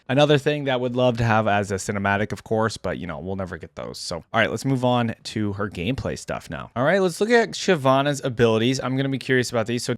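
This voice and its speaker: A man giving casual, conversational reaction commentary as a video host, thinking out loud between bits he reads aloud. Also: mid-range voice, no room sound, clean studio sound.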